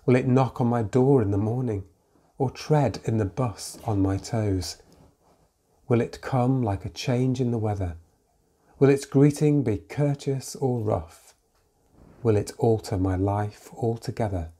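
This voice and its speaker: A man reads poetry aloud as a reader. His voice is mellow and dark, sitting at a middle pitch for a man. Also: a little slow. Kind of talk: poetry reading with spoken introduction.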